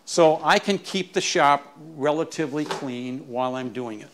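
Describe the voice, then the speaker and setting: A man giving an informal, explanatory tour of his small garage workshop as a woodturner, haltingly repeating words as he explains. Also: fairly high pitch, background noise.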